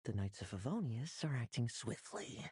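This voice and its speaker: An actor playing a reckless, commanding knight with dark resolve in a video game, intense and theatrical. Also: male voice, medium pitch, sing-song, moderate pace, husky, dry recording, clean studio sound. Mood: coolly resolved.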